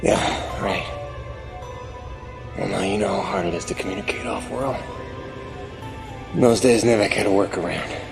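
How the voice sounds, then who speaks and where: An actor playing an old man narrating his past in a video game, reflective and gravelly. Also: on the low side, normal speed, pauses often, over music.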